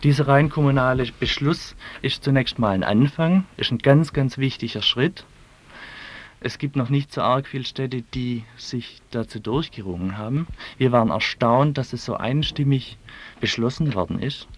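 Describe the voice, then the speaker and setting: A man's voice: medium pitch, moderate pace. A man speaking as an activist spokesperson, giving an answer in an interview.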